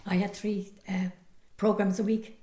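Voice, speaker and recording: A woman's voice: medium pitch, level tone, unhurried, mellow, roomy sound. A woman with a County Cork accent in casual interview chat, speaking with emphatic agreement. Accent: Irish, County Cork. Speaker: amateur radio drama actress, former radio presenter.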